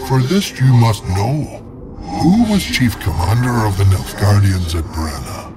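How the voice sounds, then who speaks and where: An actor playing the stern, proud ghost of an army standard bearer in a video game, speaking in a formal, archaic, declamatory way. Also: male voice, on the low side, very animated, unhurried, background noise. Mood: testing, grave. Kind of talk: dramatic video game acting. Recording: game music under the voice.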